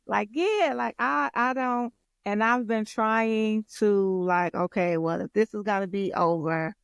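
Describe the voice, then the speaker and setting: An American woman co-hosting a podcast in casual, slangy girl talk with a playful, expressive manner. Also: medium pitch, unhurried, vocal fry, clear, resonant tone, dry recording, studio quality. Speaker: podcast co-host and content creator.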